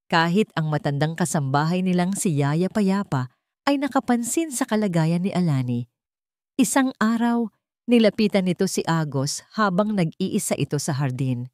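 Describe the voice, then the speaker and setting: A Filipino woman narrates a scripted drama in a low-pitched voice. The recording is dry and close, with no room sound around her storytelling. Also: lively intonation, moderate pace, a little mellow, no echo.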